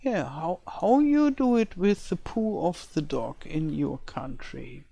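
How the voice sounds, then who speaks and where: Older German woman, English as second language, unhurried, explaining game building as she works, dry close sound. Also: low-pitched, lively intonation, creaky, dark tone, slightly rough, no room sound. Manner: thinking aloud, searching for words. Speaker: let's-play creator. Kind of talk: casual commentary while building a game map. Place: home in rural France.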